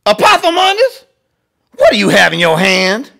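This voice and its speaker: A man's voice: sits high, wildly swooping pitch, normal speed, creaky, sharp tone, pitched above the performer's own voice, exaggerated voice. A man voicing an exasperated, scolding mother in a storybook, with emphatic delivery. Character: exasperated, scolding mama, loud and shrill.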